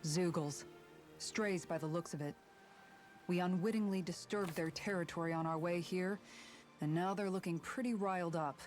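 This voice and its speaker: An actress playing a frank, fiercely supportive young fantasy fighter in a video game, earnest and firm. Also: female voice, lowish voice, moderate pace, a little mellow, soft background music, natural voice. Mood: concerned. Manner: earnest, firm, plain-spoken. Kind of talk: dramatic video game voice acting.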